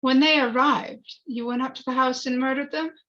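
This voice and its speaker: A woman playing a pressing, sarcastic courtroom prosecutor in a dramatic screenplay reading, deliberate and accusatory, on a lo-fi recording. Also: sits high, steady tone, bright, forceful tone. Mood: sarcastic, accusing. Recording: dull, lo-fi recording.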